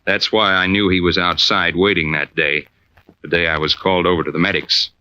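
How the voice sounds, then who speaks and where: An actor playing a proud, middle-aged Navy deep-sea diver and father in an old-time radio drama, gruff, wry and clipped in manner. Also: flat delivery, fairly quick, bright, forceful tone. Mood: reflective narration. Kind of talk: old-time radio drama acting with first-person narration. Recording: dull, band-limited old radio recording.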